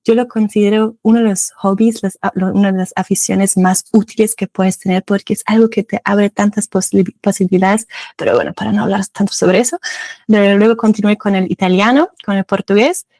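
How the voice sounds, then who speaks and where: A German woman with a German accent talks casually as an interview guest. She laughs often and corrects herself mid-sentence. Her voice sits at a middle pitch, recorded dry and close. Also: fairly even intonation, brisk, warm tone, no room sound.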